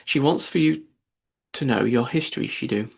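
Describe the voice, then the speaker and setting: A man's voice: mid-range voice, normal speed, gravelly, slightly rough. A man voicing an amused, dismissive griffin in a storybook, talking in folksy, ungrammatical phrases. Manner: colloquial, folksy grammar. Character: casual, amused male griffin, dismissive creature.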